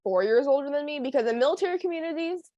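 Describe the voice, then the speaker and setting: A woman's voice: high voice, expressive, fast, warm tone, no echo, muffled recording. Teenage girl, casual chat, lots of fillers, dry recording. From United States. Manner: chatty, many fillers and laughs. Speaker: guest.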